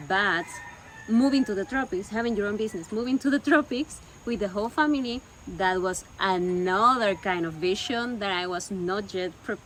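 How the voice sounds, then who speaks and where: A woman's voice: medium pitch, moderate pace, a bit bright, low-quality recording. Woman, casual home talk, faint noise behind her. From Peru. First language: Spanish. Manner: searching for words, repeating herself. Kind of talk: casual conversation.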